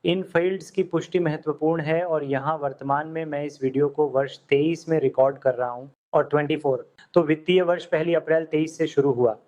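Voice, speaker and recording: A man teaching accounting software step by step, in steady instructional tones over a screen recording. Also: a little high, brisk, hardly any pauses. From India. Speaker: software tutor. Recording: dull lo-fi audio.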